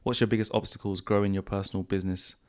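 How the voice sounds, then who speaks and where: A man hosting a podcast in a casual, relaxed way, with a croaky voice and slangy, repetitive phrasing. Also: sits low, fast. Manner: relaxed, repeats himself, slangy. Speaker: podcast host. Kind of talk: casual podcast chat answering viewer questions. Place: home studio.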